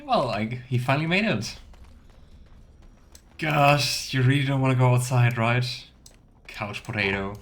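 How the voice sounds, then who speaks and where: A man's voice: mid-range voice, lively intonation, measured pace, pauses often, a bit bright. A man giving casual let's play commentary and reading game text aloud, musing and wryly sarcastic. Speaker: game streamer.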